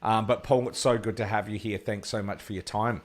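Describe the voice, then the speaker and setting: A man's voice: on the low side, steady tone, brisk. An Australian man hosting an interview podcast, enthusiastic and chatty, with frequent fillers and restarts. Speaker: podcast host.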